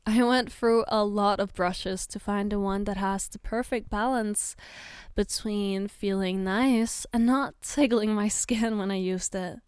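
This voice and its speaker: A woman playing a caring, playful girlfriend in an ASMR roleplay, gently reassuring and patiently explaining as she gives soft personal attention. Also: mid-range voice, steady tone, unhurried, smooth, no echo, studio quality, lightly acted. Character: caring, playful girlfriend doing her partner's makeup.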